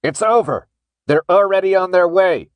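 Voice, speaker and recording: A man's voice: mid-range voice, lively intonation, normal speed, no echo, muffled recording, lightly acted. A man voicing a stern, angry armed guard in an audiobook, barking curt, menacing orders. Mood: shouting alarm. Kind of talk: audiobook character dialogue.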